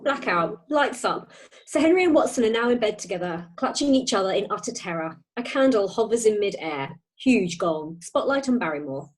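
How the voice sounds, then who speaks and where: A woman's voice: medium pitch, expressive, normal speed. A woman narrating a comic amateur play by reading its stage directions.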